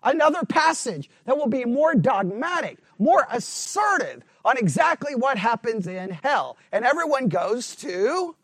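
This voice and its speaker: High-voiced man teaching a Bible study. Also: big pitch swings, a little slow, hardly any pauses, a bit bright, no room sound. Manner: emphatic, asks the class questions, frequent restarts. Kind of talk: conversational Bible study lecture.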